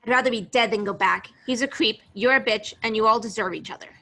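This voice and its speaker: A woman's voice: fairly high pitch, fast, sharp tone. A woman playing a blunt, guarded young action heroine in a table read over a video call. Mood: defiant.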